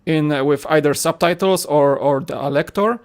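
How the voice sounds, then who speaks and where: A man's voice: on the high side, moderate pace. A man from Poland with a Polish accent, a podcast co-host chatting casually and explaining at length with frequent restarts. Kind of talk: casual chat.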